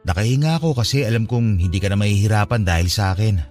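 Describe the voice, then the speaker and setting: A man's voice: fairly low pitch, fast, darkish tone, lightly acted. Male actor as young former boyfriend, sincere and reflective, wounded yet hopeful, radio drama with faint music underneath. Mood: earnest, regretful. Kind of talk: Filipino radio drama dialogue.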